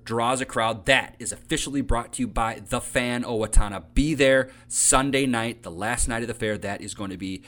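An American man hosting a podcast, talking casually and enthusiastically as he promotes events, repeating phrases for emphasis. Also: medium pitch. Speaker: podcast host.

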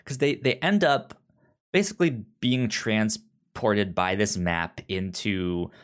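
A man co-hosting a casual book discussion, talking haltingly and stumbling over the starts of words. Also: lowish voice. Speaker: podcast co-host.

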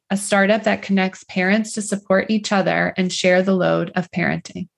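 A woman's voice: mid-range voice, gravelly. A woman hosting a podcast interview, reading an upbeat introduction and then asking friendly questions. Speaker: podcast host.

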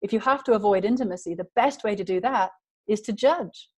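A woman's voice: medium pitch, brisk, darkish tone, husky. A woman guest in an interview, talking earnestly and emphatically in long flowing sentences. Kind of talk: passionate conversational interview.

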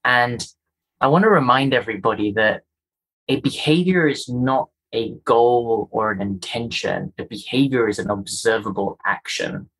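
A man from Kenya talks through his ideas as an interview guest. His voice is a little husky and sits at a middle pitch. He speaks earnestly and with emphasis, often building his points as a run of rhetorical questions. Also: moderate pace, fairly bright tone, low-quality recording. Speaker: guest, culture and inclusion executive. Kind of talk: conversational interview, explanatory.

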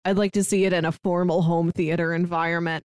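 A woman's voice: fairly low pitch, level tone, quick pace, a little mellow, clean tone, no room sound, studio quality. A woman co-hosting a podcast in a casual, conversational way. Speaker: podcast co-host.

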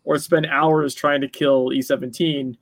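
Man chatting about games, higher-pitched, dry sound. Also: little pitch movement, a little slow, no room sound. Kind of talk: casual gaming discussion.

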